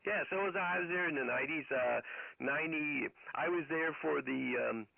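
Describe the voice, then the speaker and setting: A man's voice: on the high side, a little fast, gravelly, a loud crowd. A middle-aged man telling stories casually over ham radio, in a rambling way with military jargon. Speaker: amateur radio operator. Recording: narrow phone-like radio sound.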